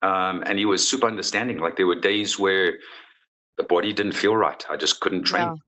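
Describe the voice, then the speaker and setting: A man's voice: sits low, moderate pace, pushed and bright, rough recording. A man in his forties from South Africa, a football coach answering interview questions in an earnest, frank way with many restarts.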